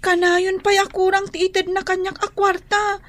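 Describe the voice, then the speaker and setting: Very high-voiced, timid young girl. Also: flat delivery, measured pace, fairly bright tone, no room sound. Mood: sad, pleading. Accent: Ilocano. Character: timid, mistreated schoolgirl daughter, trusting and fearful. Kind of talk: Ilocano radio drama acting.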